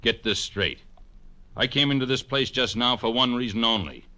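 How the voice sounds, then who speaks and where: An actor playing a menacing fat gunman with a thick, soft voice and curt, bullying manner in an old radio crime drama. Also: medium pitch, expressive, moderate pace. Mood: threatening. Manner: curt, bullying tough talk.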